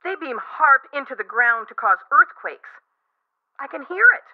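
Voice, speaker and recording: A woman's voice: high-pitched, lively intonation, normal speed. Tinny phone line, female caller, nervous, earnest, insistent, radio drama. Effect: telephone filter. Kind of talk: radio play acting.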